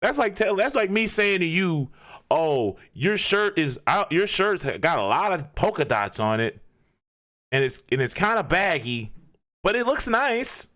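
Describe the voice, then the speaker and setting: A man's voice: high-pitched, big pitch swings, measured pace, bright, forceful tone. A man hosting a reaction video, ranting in disbelief and firing off rhetorical questions. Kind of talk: casual reaction commentary.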